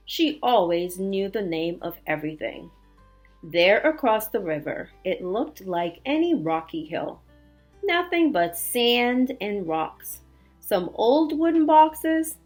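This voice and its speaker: A woman teacher reading aloud and explaining words to young children in an expressive way, with simple asides and check-in questions. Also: medium pitch. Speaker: elementary school teacher. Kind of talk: read-aloud storytelling and word lesson for young children.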